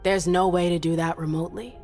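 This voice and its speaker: A woman plays a defiant female vampire agent in a dramatic video game. Her voice is somewhat low, guarded and skeptical. Music runs faintly behind her. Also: level tone, fairly quick, a little mellow, lightly acted.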